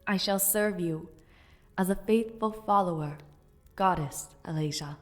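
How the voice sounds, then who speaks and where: A woman's voice: fairly low pitch, unhurried, dry recording, faint music behind, lightly acted. A young woman voicing a spirited, playful noblewoman knight in an audio roleplay, chatty and teasing. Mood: solemn. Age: young adult.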